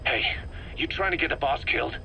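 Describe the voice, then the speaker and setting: An actor playing a hard, suspicious, hot-tempered military commander in a video game, terse and insistent. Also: male voice, a little high, fairly quick, gravelly, pushed and bright, exaggerated voice, poor audio. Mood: suspicious, angry. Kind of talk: dramatic game voice acting. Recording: faint background noise.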